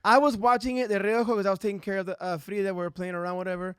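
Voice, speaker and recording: A man's voice: high voice, flat delivery, rapid-fire, smooth, dry recording, studio quality. A man co-hosting a soccer podcast, playful and chatty, switching freely between English and Spanish. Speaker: podcast co-host. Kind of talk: casual sports chat.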